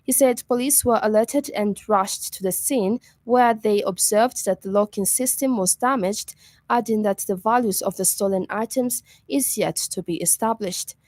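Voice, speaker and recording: A woman with a Zambian accent reading a scripted radio news bulletin in a formal, measured manner. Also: mid-range voice, darkish tone, dry recording.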